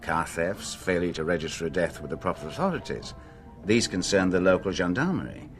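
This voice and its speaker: A man's voice: low voice, big pitch swings, a little fast, faint music behind. A man acting a wry, put-upon police detective in a radio drama, with a dry, sardonic manner. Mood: dry, businesslike. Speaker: lead actor in a radio drama.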